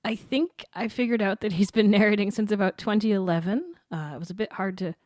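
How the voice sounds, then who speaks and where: A woman's voice: medium pitch, brisk, darkish tone, no echo, studio quality. A woman contributing to a podcast, informative and casual with hesitant fillers. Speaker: guest contributor profiling a narrator.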